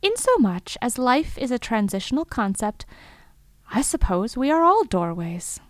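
A woman performing a thoughtful, wondering narrator of strange field reports. Her voice sits fairly high and is recorded very dry, with no room around it. She speaks in measured, lyrical phrases, as in an intimate audio drama. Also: sing-song, no echo. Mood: gently hopeful. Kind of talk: scripted reflective first-person audio fiction monologue.

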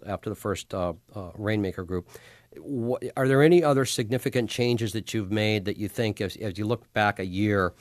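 A man's voice: on the low side, no room sound, studio quality. A man hosting a casual business podcast interview, often repeating words as he forms his questions. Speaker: podcast host interviewing a guest.

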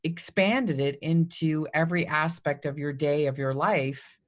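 A woman hosting a conversational interview, drawing out her guest's story and echoing it back with encouragement. Her voice sits a little low for a woman. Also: a little slow. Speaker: podcast host.

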